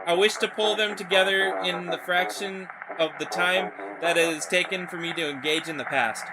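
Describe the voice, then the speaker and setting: Guy doing a solemn canal developer for a horror story, formal speechy delivery, higher voice, noisy background. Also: steady tone, moderate pace, close to an everyday voice. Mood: solemn, grandiose. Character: solemn male canal developer, a weary former diplomat.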